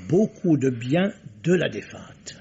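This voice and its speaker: Male police commissioner, radio drama: dry, curt, unflappable interrogator, slightly high voice, muffled old recording with light noise. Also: animated, slow, warm tone. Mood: wry, unruffled. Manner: curt, wry, questioning. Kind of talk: French radio mystery play acting. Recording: dull, hissy vintage radio recording.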